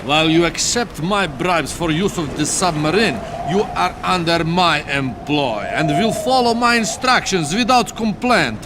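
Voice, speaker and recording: An actor playing an arrogant, menacing mafia boss in a video game, speaking formally and imperiously. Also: male voice, fairly high pitch, expressive, measured pace, few pauses, background noise. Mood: contemptuous. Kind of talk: video game cutscene acting. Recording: loud music over the dialogue.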